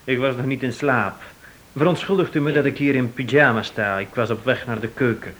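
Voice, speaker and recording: An actor playing a polite, evasive house guest in a Dutch radio play. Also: male voice, medium pitch, brisk, fairly bright tone, lightly acted. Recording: faint hiss, old radio recording.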